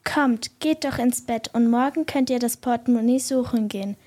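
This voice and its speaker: A female performer playing a calm, reassuring mother in a children's radio play. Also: fairly high pitch, normal speed, close to an everyday voice.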